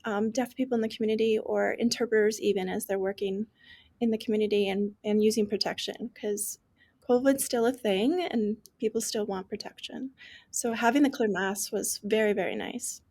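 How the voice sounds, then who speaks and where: A woman's voice: mid-range voice, steady tone, normal speed, a little mellow. A woman guest expert on an interview podcast, explaining earnestly with frequent restarts. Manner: earnest, restarts often, many "like" and "um". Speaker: guest expert on deaf education. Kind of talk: conversational interview.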